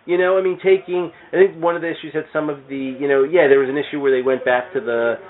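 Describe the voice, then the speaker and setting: Halting man on a thin, phone-like recording. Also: a little high, fairly even intonation. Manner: halting, rambling, often repeats words. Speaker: podcast speaker discussing comics. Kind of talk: casual chat. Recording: thin phone-like radio sound.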